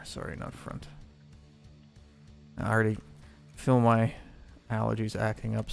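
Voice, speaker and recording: A man's voice: on the low side, gravelly. A man streaming a video game live, chatting casually with viewers, rambling with lots of fillers as he loots and sorts gear.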